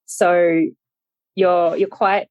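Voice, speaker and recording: Young kiwi woman, low voice, dry close sound, pauses and restarts a lot. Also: little pitch movement, a little mellow, dry recording. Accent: New Zealand. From New Zealand. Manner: thoughtful, many ums and restarts. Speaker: podcast guest, startup founder. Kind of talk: casual interview chat.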